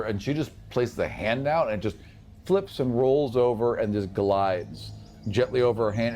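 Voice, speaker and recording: A man running a tabletop game as game master, improvising casual, animated narration with spoken sound effects. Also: mid-range voice, slight background noise.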